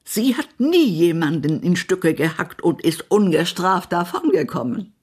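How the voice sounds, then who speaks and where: An actress playing a spiteful, haughty small-town society gossip in a German radio play, blunt and imperious. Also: female voice, on the low side, animated, unhurried, a little mellow, faint music behind. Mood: indignant, venomous. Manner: blunt, acid, imperious, swearing.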